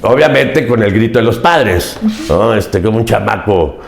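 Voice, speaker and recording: Older Mexican man, low, roomy sound. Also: expressive, normal speed, vocal fry. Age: 70s. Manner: earnest, emphatic, repeats key phrases. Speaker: podcast guest. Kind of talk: reflective interview storytelling.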